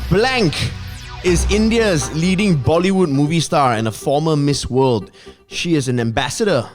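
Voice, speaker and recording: A male podcast host with a Malaysian English accent, his pitch a little high and very animated. He talks in loose, teasing banter, laughing often. Music plays clearly behind him. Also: normal speed. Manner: playful, laughing, quiz-master teasing.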